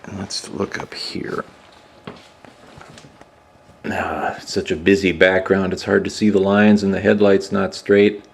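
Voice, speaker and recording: Middle-aged man, relaxed rambling workshop talk, recorded off a camera mic across a roomy garage. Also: low voice, measured pace, creaky, pauses often, recorded from a distance, slight background noise. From Minnesota, USA. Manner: relaxed, musing, thinks aloud with fillers. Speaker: motorcycle builder and channel host. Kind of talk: casual workshop vlog. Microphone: camera mic, moving around the room.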